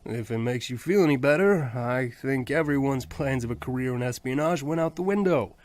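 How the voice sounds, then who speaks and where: A man's voice: medium pitch, lively intonation, a little fast, a little mellow, lightly acted. A man playing a sarcastic, bantering young survivor of a zombie apocalypse in a fan audio drama. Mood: teasing.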